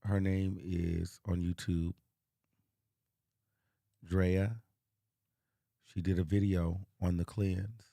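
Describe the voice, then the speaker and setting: A man hosting a casual livestream as a life coach, talking softly and hoarsely because his voice is mostly gone. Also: low-pitched, flat delivery, very slow, long pauses, no room sound, studio quality. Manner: soft, subdued, talking through a lost voice.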